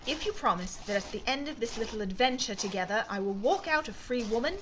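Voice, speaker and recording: Woman playing a high-voiced, haughty noblewoman. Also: lively intonation, fast, noisy background, lightly acted. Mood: arch, assured. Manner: arch, poised, cutting, formal diction. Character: haughty, self-possessed young British noblewoman turned reporter. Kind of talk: audio drama acting.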